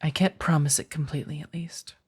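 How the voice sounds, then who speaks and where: A woman playing a remorseful, tender vampire in an ASMR roleplay, speaking softly and haltingly, often slipping into a whisper. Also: low-pitched, fairly even intonation, slightly rough. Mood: hesitant, apologetic. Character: remorseful, tender female vampire rescuing her beloved.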